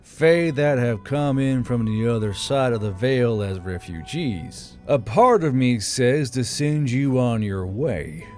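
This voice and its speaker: Man acting a gruff, growling, weary official. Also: mid-range voice, lively intonation, takes it slowly, few pauses, a little mellow, soft background music. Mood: blunt, irritated. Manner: blunt, stern. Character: gruff, weary, blunt male official, grudgingly lenient. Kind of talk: audiobook character voice.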